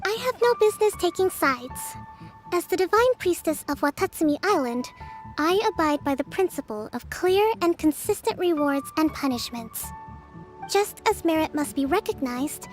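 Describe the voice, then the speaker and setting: A woman playing a calm, dutiful young priestess leader in a video game, speaking with formal, measured diplomacy. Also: sits high. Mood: stern, composed. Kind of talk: scripted video game dialogue.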